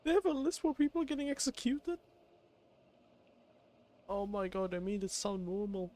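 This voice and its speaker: A man's voice: high voice, animated, moderate pace, a little mellow. A man streaming a game, reacting casually with profane, rambling, stop-start comments. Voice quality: rambling, profane, stammering restarts.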